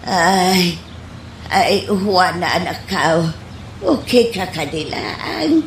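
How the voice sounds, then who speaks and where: A woman playing a fretful, apologetic elderly mother in a radio comedy drama, with an Ilocano accent and plaintive, drawn-out exclamations. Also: fairly low pitch, animated, slight background noise, exaggerated voice. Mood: fretful, concerned. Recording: echoey room sound.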